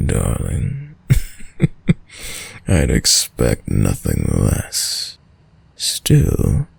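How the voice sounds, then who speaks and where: Very deep gravelly vampire lord. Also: male voice, full character voice. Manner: menacing, silky, mock-tender, theatrical. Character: ancient sadistic male vampire lord, dominant and seductive. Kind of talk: scripted roleplay audio drama monologue.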